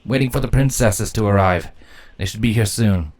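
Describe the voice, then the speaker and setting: A man's voice: fairly low pitch, normal speed, lightly acted. Man playing an easygoing, loyal guard captain. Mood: informative. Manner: warm, easygoing. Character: good-natured, loyal male guard captain pony. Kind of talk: acted fan fiction dialogue.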